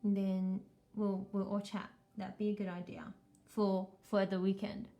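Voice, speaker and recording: A woman's voice: mid-range voice, little pitch movement, unhurried, warm tone, lo-fi sound, soft background music. A woman chatting casually with children as a live storytime host, encouraging and full of questions.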